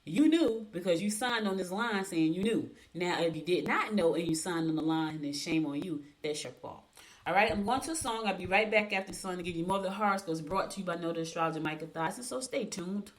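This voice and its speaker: A woman's voice: fairly low pitch, a little fast. A woman hosting a radio show, reading horoscopes and giving casual advice in a blunt, emphatic way, repeating points for stress. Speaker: radio host.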